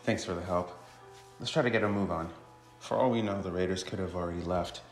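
A man reads visual novel dialogue aloud in a low voice. The recording sounds roomy, with faint music underneath. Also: fairly quick. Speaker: co-player reading game dialogue aloud.

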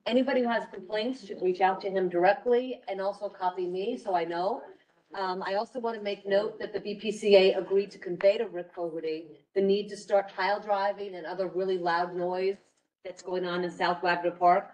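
A woman's voice: mid-range voice, fairly even intonation, fairly quick, a little mellow. A woman giving an informal committee report at a community board meeting. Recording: distant mic in meeting room.

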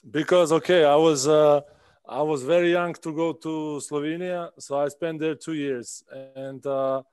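A man in his thirties with a Czech accent, a basketball player answering interview questions over a video call, speaking haltingly with many pauses and restarts. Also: fairly high pitch, darkish tone, clear, resonant tone, dry recording. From Czech Republic. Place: indoor room at home. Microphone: video call laptop mic.

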